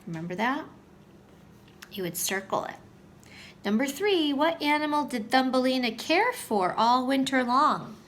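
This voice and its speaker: Slow, high-voiced woman teaching young children. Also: expressive, fairly bright tone, a little hiss or hum. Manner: sing-song, addresses children directly with simple questions. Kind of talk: story-time lesson for young children.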